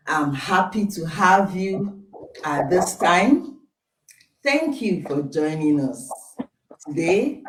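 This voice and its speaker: A woman from Nigeria hosting a live online talk show, speaking slowly and formally as she welcomes viewers. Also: lowish voice, roomy sound, far from the mic, low-quality recording. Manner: slow, deliberate, formal welcomes.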